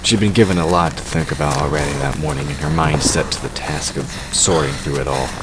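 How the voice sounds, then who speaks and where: A man's voice: extremely low pitch, fairly quick, very noisy. A man reading scripted narration for a fantasy audio drama.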